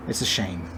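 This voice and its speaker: Casting: kind-seeming woman played by a man, tabletop RPG, soft-spoken, hesitant and casual, over noticeable background ambience. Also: fairly low pitch, noisy background. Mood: wistful. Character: kind-seeming young woman, genuine, quietly joyful, secretly callous.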